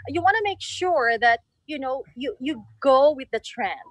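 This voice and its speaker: A woman's voice: sits high, expressive, a little slow, no room sound. A woman chatting casually as an interview guest, explaining her decorating work in a conversational way. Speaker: guest event decorator and designer.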